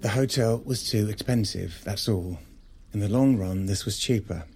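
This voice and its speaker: A restless, self-justifying husband in an audio drama, acted by a man. His voice is dark and gravelly at a middle pitch. He explains himself hesitantly and naturally, as an estranged partner would. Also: moderate pace, soft background music, natural voice. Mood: defensive.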